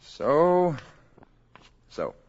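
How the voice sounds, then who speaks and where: An actor playing a calm, gentle, learned visitor from space in a 1950s radio drama, speaking in a measured, polite and precise way. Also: male voice, fairly high pitch, exaggerated voice. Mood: calm, assured. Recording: muffled 1950s radio broadcast recording.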